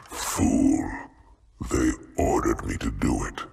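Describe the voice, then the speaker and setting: An actor playing a brutish alien chieftain in a video game, a gloating and treacherous villain with a deep growl. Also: male voice, gravelly, exaggerated voice. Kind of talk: video game cutscene acting. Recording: noisy game scene with echo.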